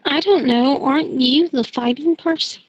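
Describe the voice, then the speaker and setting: A woman's voice: high-pitched, moderate pace, no room sound, muffled recording, natural voice. A woman playing a stubborn, bossy schoolgirl witch in an amateur audio drama, insistent in manner. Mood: casual. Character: Jen, bossy, stubborn schoolgirl witch, fussing over her friend.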